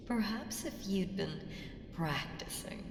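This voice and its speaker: Slow, echoing ancient evil seductress, acted by a woman. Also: mid-range voice, lively intonation, vocal fry. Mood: coaxing, ominous. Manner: slow, teasing, ominous, with whispered phrases. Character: ancient evil female entity, seductive, sly, taunting. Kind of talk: ASMR roleplay audio drama. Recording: reverberant hall effect, faint noise.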